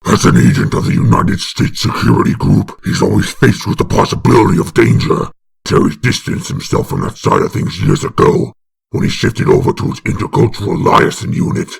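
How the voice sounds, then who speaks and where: A man with a very gravelly, breathy rasp giving dramatic scripted narration of a comic book. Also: on the low side, huge pitch swings, a little fast.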